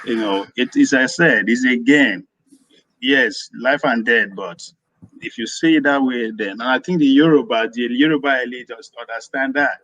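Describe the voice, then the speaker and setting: A man's voice: mid-range voice, normal speed, fairly bright tone, dry recording, lo-fi sound. A Nigerian man calling in to a live audio discussion, arguing in a rambling way that often repeats words. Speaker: caller.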